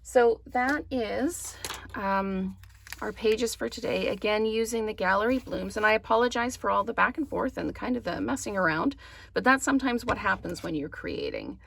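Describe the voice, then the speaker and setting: A woman hosting a craft tutorial, slightly hoarse, chatting in a casual, apologetic way and thinking aloud with frequent ums. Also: medium pitch, sing-song, moderate pace, fairly bright tone, a little hiss or hum. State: slightly hoarse, losing her voice. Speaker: crafting channel host.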